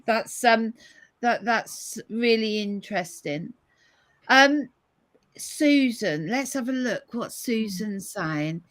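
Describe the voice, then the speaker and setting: A woman hosting a live-stream conversation over a video call, hoarse today, thoughtful as she reads out viewer comments. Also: fairly high pitch, animated, slow, fairly bright tone, no echo. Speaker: host.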